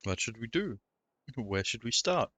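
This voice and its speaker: A man's voice: mid-range voice, very animated, measured pace, pushed and bright, no echo. A man streaming a game, reading dialogue choices aloud and tossing in short quips.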